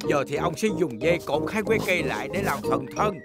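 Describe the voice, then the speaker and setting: A woman voicing a kindly, excitable old grandfather pig in a children's cartoon dub, with a southern Vietnamese accent and theatrical delivery. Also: sits low, a little fast, creaky. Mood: helpful. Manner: instructive, theatrical exclamations. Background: music.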